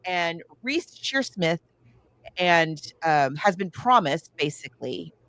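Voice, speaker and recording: A woman's voice: on the low side, lively intonation, takes it slowly, fairly bright tone. A woman co-hosting a podcast, chatting casually about films in a chatty, emphatic way. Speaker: podcast co-host. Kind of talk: casual film discussion chat.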